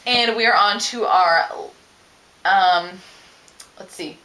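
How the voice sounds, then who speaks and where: A woman's voice: medium pitch, takes it slowly, sharp tone, low-quality recording. A woman book vlogger talking casually to her viewers in a chatty, analytical, emphatic way. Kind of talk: casual book discussion to camera.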